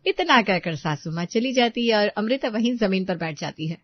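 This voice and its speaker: A woman storyteller delivers scripted narration of a domestic tale. Her pitch is average, and the sound is very dry and a little dull. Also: expressive, moderate pace, no room sound.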